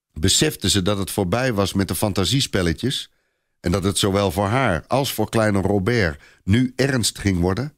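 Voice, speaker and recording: A man narrating a scripted story in a dramatic storytelling style. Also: on the low side.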